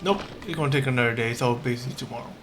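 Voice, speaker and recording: A man's voice: mid-range voice, very fast, over music. A man giving casual, rambling gameplay commentary as a streamer, hesitating and repeating his words.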